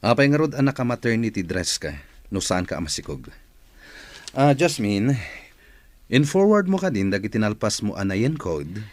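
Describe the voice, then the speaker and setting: Actor as a blunt, animated male boss. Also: on the low side, normal speed, pauses often. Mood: blunt, mocking. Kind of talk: radio drama acting.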